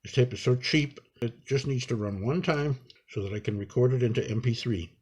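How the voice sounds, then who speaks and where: A man with a husky, slightly rough voice and medium pitch gives hands-on instructions. He speaks in an unhurried, halting way, improvising as he talks through each step of his work. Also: darkish tone. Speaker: instructor demonstrating a repair. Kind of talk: hands-on tutorial narration, improvised.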